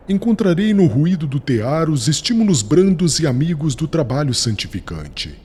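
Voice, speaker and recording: A man voicing a humble, earnest young disciple who speaks hushed and hesitant in an audiobook. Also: mid-range voice, a little hiss or hum, voice pushed down, lightly acted. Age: young adult. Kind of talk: audiobook dialogue reading.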